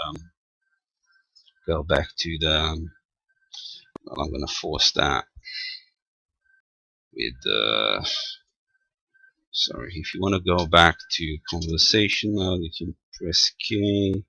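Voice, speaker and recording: A man giving a casual, unscripted technical demonstration as a radio hobbyist, talking haltingly and half muttering to himself as he works. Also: rumbling low, creaky, bright, forceful tone, slightly rough, dry recording, poor audio. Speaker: amateur radio operator demonstrating equipment.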